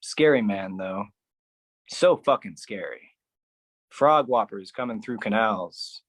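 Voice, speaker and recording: A performer delivering a theatrical spoken-word poetry monologue in a dramatic, deliberate, heavily emphatic manner. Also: medium pitch, lively intonation.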